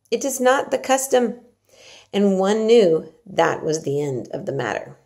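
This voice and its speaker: A woman narrates a storybook aloud. Her voice sits at a middle pitch, soft-edged and mellow, with a noticeable creak as she reads the scripted prose. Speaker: story reader.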